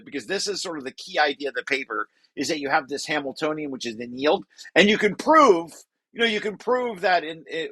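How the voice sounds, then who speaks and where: A man's voice: fairly high pitch, sharp tone, husky, no room sound. A man reading through a technical paper and explaining it informally, thinking aloud and correcting himself as he goes. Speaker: researcher presenting own paper.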